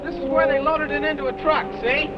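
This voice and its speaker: Muffled vintage radio adventure with music underneath. a teenage ranch boy with a very high voice, earnest and eager in his youthful delivery. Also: fairly even intonation, a little fast. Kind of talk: 1950s radio drama acting. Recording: muffled old radio recording, music behind.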